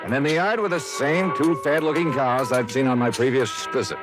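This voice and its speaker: An American actor playing a tough, wry insurance investigator in a hard-boiled old-time radio drama. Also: male voice, medium pitch, fairly even intonation, fairly quick, lightly acted. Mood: wry narrating. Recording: vintage radio recording with music.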